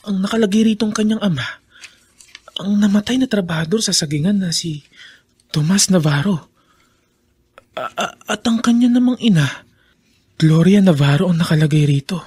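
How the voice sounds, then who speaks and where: An earnest young man with a Filipino accent and a husky voice. He speaks in radio drama style, thinking aloud. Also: high-pitched, normal speed, pauses often, a little mellow, no echo. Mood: astonished.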